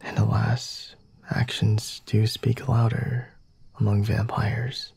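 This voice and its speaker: Breathy, whispering male vampire master, acted by a man. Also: lowish voice, flat delivery, darkish tone, no room sound, full character voice. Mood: smooth, teasing. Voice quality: breathy, husky, often whispering. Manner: formal, teasing, measured and deliberate. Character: flirty, refined male vampire master, coy and unhurried. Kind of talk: ASMR roleplay, addressing the listener.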